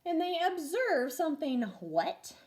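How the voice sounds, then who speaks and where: A woman reading a children's storybook aloud as a teacher would, expressive and clearly paced for young listeners. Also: very high-pitched, measured pace. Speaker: first grade teacher reading stories aloud.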